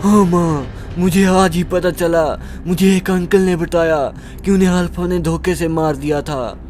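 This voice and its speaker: A man's voice: sits high, steady tone, unhurried, darkish tone, soft background music. A man playing an eighteen-year-old son, a naive but determined hero, speaking emphatically in a melodramatic story. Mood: troubled. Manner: dramatic, emphatic.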